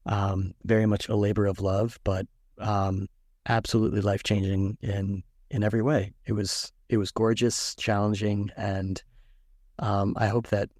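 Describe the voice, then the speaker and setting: A man in his thirties, an author guest, answering interview questions in a relaxed storytelling way with frequent ums. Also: on the low side, moderate pace, no echo, clean studio sound.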